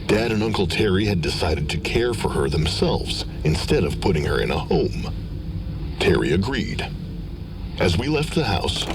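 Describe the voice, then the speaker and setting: A man's voice: sits low, rough recording. A man reading a scripted first-person horror story as its narrator.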